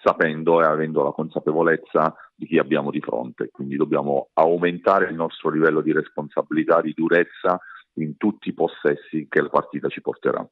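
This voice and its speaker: Man, basketball coach, extremely low voice, radio interview, measured hesitant phrasing, narrow phone-like sound. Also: steady tone, no echo.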